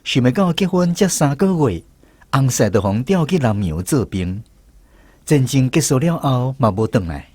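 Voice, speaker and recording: A man's voice: medium pitch, sing-song, moderate pace, darkish tone, husky, dry recording. A man with a Taiwanese accent narrating a radio drama as a scripted storyteller.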